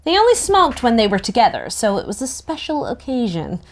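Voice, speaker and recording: A woman reading a first-person novel aloud in an expressive, youthful storytelling manner. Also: on the high side, brisk. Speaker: narrator in a table read.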